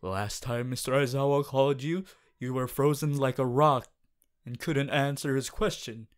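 A man's voice: medium pitch, steady tone, normal speed, clean tone, close to an everyday voice. A man voicing a strict, earnest teenage class president, formal and preachy, in an audio roleplay. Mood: earnest pleading. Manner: formal, preachy, exasperated, stiff phrasing. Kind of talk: ASMR character audio roleplay monologue.

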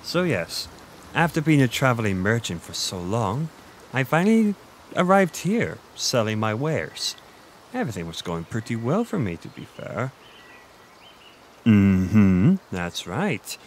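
A man playing a flirty, boastful Irish innkeeper, chatting in a meandering, intimate roleplay monologue with thoughtful pauses. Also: medium pitch, big pitch swings, a little hiss or hum. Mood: chatty, reminiscing.

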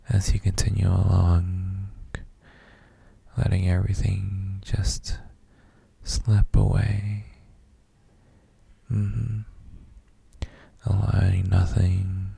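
Brief: gentle boyfriend male actor for sleep ASMR; very dark, husky, breathy near-whisper, extremely slow, doting and soothing. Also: low voice, no echo, exaggerated voice. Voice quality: very soft, breathy, gravelly, often near whisper. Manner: slow, hesitant, murmured reassurances with hums. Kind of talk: ASMR roleplay, guided sleep meditation.

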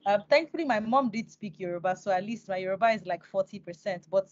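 A woman's voice: mid-range voice, fast talker, a little mellow, no echo. A woman in her thirties with a Nigerian accent, hosting an interview in a respectful, personal, conversational way. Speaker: interview host. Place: indoors, on a video call. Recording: dull, dry video call audio.

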